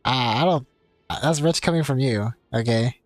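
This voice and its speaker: A man's voice: mid-range voice, moderate pace, faint music behind. A man giving casual live commentary as he streams a game.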